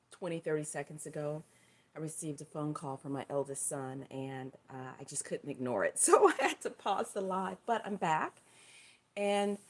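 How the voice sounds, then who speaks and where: A middle-aged woman with a low, husky-dry voice talks on her live stream. She has a touch of hoarseness, and she rambles easily, circling back and fixing her words as she goes. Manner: loose and rambling, with fillers and self-corrections. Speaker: live stream host and tarot reader. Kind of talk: casual spoken livestream talk.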